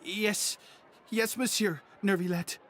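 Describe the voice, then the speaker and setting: An actress playing a timid, nervous underling in a video game, hesitant and stammering. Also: female voice, medium pitch, fairly even intonation, slow, a bit bright, a little hiss or hum.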